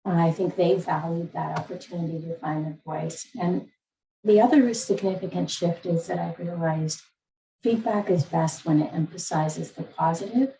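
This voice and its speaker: Woman teacher on a video call, gravelly and mellow, kinda echoey and far from the mic. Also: fairly low pitch, moderate pace, slight background noise. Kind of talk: reflective introduction in a video call.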